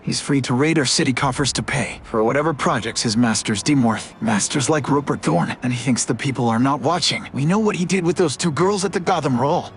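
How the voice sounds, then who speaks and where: A man's voice: medium pitch, natural voice. A man playing a bitter, militant anarchist agitator in a video game, giving a righteous, declamatory propaganda speech with music behind him.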